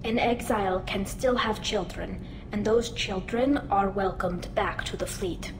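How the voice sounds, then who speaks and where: A woman's voice: medium pitch, measured pace, background noise, close to an everyday voice. Woman acting earnest young alien woman, roomy sound. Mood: resigned, worried. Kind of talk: dramatic video game dialogue.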